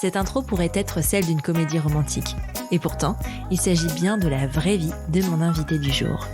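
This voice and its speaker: A woman's voice: sits low, fairly quick, over music. A woman hosting a podcast, speaking informally and directly in an interview show style. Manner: informal, addresses listener and guest familiarly. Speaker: podcast host. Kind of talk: podcast introduction and conversational interview.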